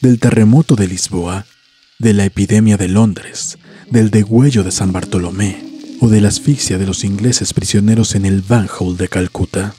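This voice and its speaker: A man narrating a scripted horror story in a solemn, deliberate, dramatic way, letting phrases trail off softly. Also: lowish voice, steady tone. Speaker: host and narrator of a story series. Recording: music behind the voice. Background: music.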